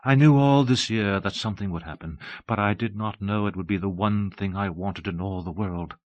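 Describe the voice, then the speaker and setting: A man voicing an old abbess in an audiobook, soft and breathless, with a dreamy, pleading, childlike innocence. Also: lowish voice, moderate pace, no room sound, lo-fi sound, voice pushed down, lightly acted. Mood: dreamy, serene. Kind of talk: dramatic audiobook storytelling.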